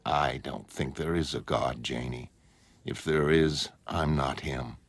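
A man voicing a detached, godlike superhuman in a motion comic, speaking in a measured, melancholy, matter-of-fact way. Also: very deep voice, husky, dry recording, exaggerated voice. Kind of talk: scripted motion comic narration.

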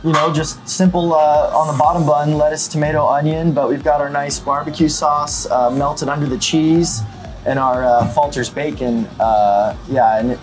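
A man's voice: on the high side, steady tone, normal speed, over music, low-quality recording. A young man, talking casually and a little ramblingly about his restaurant's food, with lots of fillers and restarts. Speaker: restaurant owner. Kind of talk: casual interview about the menu. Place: indoors at a restaurant table.

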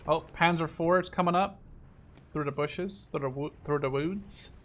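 A man's voice: on the high side, moderate pace, fairly bright tone. Casual, slangy man gaming over battle noise. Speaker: gamer playing with a friend. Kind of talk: casual gaming chat and live commentary. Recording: clear game vehicle and battle noise behind. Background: war video game sounds.

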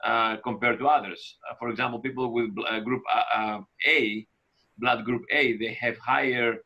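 A man in his fifties, a doctor, speaking haltingly with many fillers in an interview over a video call. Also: medium pitch, steady tone, bright, forceful tone. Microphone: laptop video call. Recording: thin, dull video call audio.

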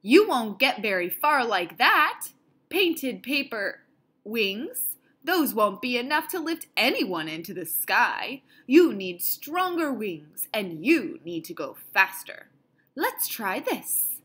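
Woman voicing eager old inventor man, storybook, bright, lively pitch, emphatic, encouraging. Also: takes it slowly, breathy edge, heavily acted. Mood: eager, instructive. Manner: emphatic, exclaiming. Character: excited elderly male inventor, friendly and encouraging. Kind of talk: read-aloud picture book dialogue.

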